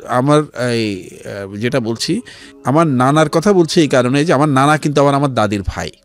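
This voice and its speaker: A middle-aged man with a Bangladeshi accent telling his life story as an interview guest, in a measured way with pauses and some English words mixed in. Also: medium pitch, expressive. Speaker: interview guest, civil servant. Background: background music.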